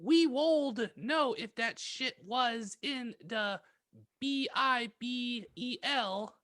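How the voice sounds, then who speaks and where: A woman voicing an irritable, crude teenage goth girl in a comic reading of fan fiction, pronouncing misspellings deliberately. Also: fairly high pitch, fairly bright tone, dry recording, clean studio sound. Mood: irritated.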